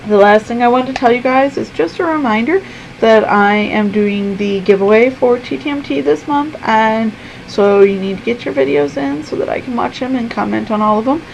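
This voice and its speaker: Woman losing her voice, gravelly. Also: fairly high pitch, a little mellow, a little hiss or hum, rough recording. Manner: chatty, frequent ums. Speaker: vlogger. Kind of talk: casual chat to camera. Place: her own room at home.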